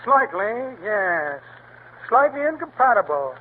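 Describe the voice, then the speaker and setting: A pompous, blustering con man from a 1940s radio comedy, high-pitched and full of swooping flourishes. He talks in grand, mock-tragic puns. The sound is tinny, like an old radio broadcast. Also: sing-song, takes it slowly, a little hiss or hum, heavily acted.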